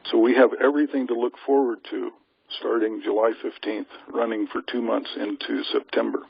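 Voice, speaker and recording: A man's voice: medium pitch, flat delivery, brisk, gravelly, slightly rough. A man giving an informal, emphatic and assured briefing to a group over a conference call, often posing rhetorical questions. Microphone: telephone conference call. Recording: lo-fi conference phone line.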